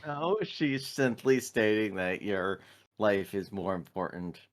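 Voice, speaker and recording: A man's voice: lowish voice, lively intonation, slow, slight background noise. A man casually chatting and describing his character as a player in a tabletop roleplaying game.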